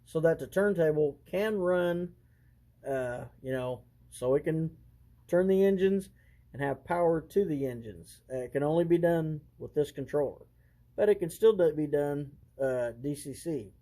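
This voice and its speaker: A man casually presenting a hands-on hobby demonstration, talking haltingly and thinking aloud as he works. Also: fairly high pitch, animated, mellow.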